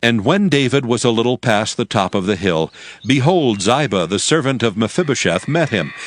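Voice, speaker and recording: A man narrating dramatized scripture in a solemn, measured, storytelling way. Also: medium pitch, expressive, no room sound. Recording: loud music bed under voice. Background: prominent music.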